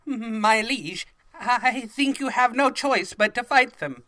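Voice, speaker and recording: A man's voice: extremely high pitch, takes it slowly, bright, forceful tone, dry recording, studio quality, heavily acted. A man voicing a nervous, deferential pony henchman in a cartoon audio drama, polite and hesitant. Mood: anxious, deferential.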